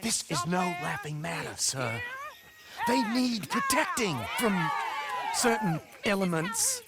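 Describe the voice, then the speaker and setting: An actor playing a frantic, lovesick young gentleman in a video game, pleading in a strained voice. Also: high-pitched, huge pitch swings, takes it slowly, exaggerated voice. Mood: desperate. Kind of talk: video game cutscene acting.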